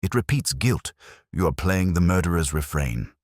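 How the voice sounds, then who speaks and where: A man voicing a grave, incisive gentleman detective in an audiobook, deliberate and commanding. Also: sits low, lively intonation, no echo, clean studio sound. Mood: grave, stern. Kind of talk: audiobook character acting.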